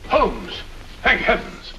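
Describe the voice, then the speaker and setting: An actor playing an excitable gentleman alongside a detective, in 1940s mystery film style. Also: sits high, slow, heavy background noise, exaggerated voice. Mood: anxious.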